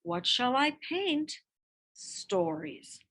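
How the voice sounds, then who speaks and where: A woman reading a picture-book biography aloud as scripted narration, with expressive delivery and deliberate emphasis. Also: on the high side, husky.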